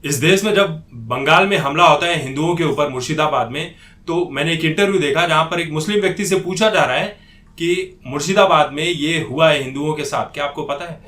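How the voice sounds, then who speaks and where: A man's voice: fairly high pitch, brisk, fairly bright tone. A man giving an opinionated political commentary monologue in an indignant, accusatory manner. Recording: echoey room.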